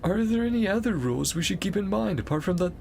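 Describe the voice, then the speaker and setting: A man's voice: sits high, animated, quick pace, creaky, a little mellow, a little hiss or hum, pitched above the performer's own voice. A man voicing a polite, earnest young male student from a game, courteous and eager.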